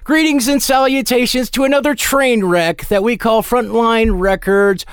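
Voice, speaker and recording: A man hosting a music show with a hoarse voice, joking and self-deprecating in casual banter. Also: very high voice, fairly even intonation, moderate pace, dry recording, studio quality. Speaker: host.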